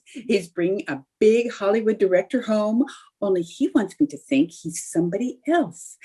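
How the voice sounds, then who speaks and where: A woman's voice: mid-range voice, a little mellow, close to an everyday voice. A woman playing a scheming, melodramatic young housewife in an old-time radio comedy, with theatrical delivery.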